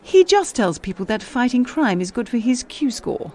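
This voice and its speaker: A woman's voice: fairly high pitch, sing-song, normal speed, darkish tone, a little hiss or hum. An actress playing a self-assured, all-knowing female schemer in a comedic audio drama. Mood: confident gossiping.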